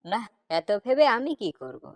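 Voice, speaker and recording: Lady doing a greedy sneaky cartoon thief, highish, swoops all over, close dry sound. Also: sing-song, measured pace, dry recording, heavily acted. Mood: amazed. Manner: scheming, talking to self. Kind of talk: animated cartoon dialogue.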